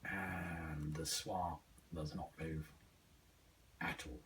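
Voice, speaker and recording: Deep-voiced, slow man. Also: steady tone, a bit bright, recorded from a distance, a little hiss or hum. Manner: unhurried, halting, thinking aloud. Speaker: radio hobbyist reviewer. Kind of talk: casual hands-on product demonstration.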